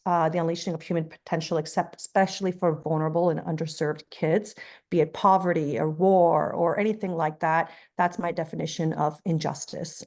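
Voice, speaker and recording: A woman's voice: fairly low pitch, a little mellow. Earnest, impassioned woman on a panel. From Afghanistan. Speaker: non-profit executive director. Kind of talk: panel introduction, personal story.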